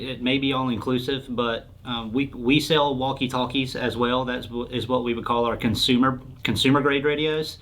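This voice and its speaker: A man's voice: mid-range voice, a bit bright. A man answering questions as a sales expert in an informal explainer interview, speaking in a plain, explanatory way.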